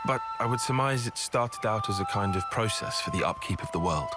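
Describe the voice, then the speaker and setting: A man plays a calm, analytical young male soldier in a video game. His voice sits low, with cool, articulate explanations, and music is prominent behind him. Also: fairly quick, lightly acted. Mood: detached, explaining. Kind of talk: video game cutscene acting.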